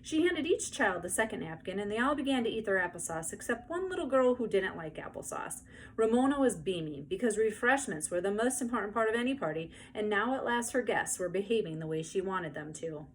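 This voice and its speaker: A woman narrating a children's book aloud in an expressive storytelling style. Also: medium pitch, brisk, low-quality recording. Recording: faint background noise.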